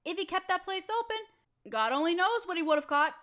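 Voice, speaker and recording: A woman's voice: very high voice, expressive, brisk, a bit bright. A woman acting a bored, chatty young roommate in a casual audio roleplay, talking in a slangy, dramatic, playfully complaining way.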